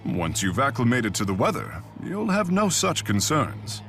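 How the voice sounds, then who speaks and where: An actor playing a stoic, proud exiled king in a video game, speaking in a formal, measured, deadpan manner. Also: exaggerated voice. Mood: composed. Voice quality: deep. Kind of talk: scripted game dialogue. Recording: game music behind the voice.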